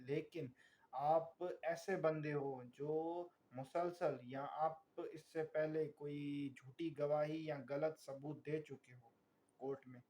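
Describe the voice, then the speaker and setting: A man with a Pakistani accent lecturing as a tutor, reading formal text and explaining it in a deliberate, didactic way. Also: medium pitch, warm tone, slight background noise. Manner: deliberate, didactic, repeatedly checking understanding. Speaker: law tutor.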